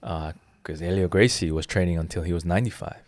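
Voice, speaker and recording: A man's voice: low voice, fast talker, a little mellow, dry recording, clean studio sound. A man in his thirties, a jiu-jitsu instructor, explaining his philosophy earnestly in a casual interview with frequent restarts. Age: 30s.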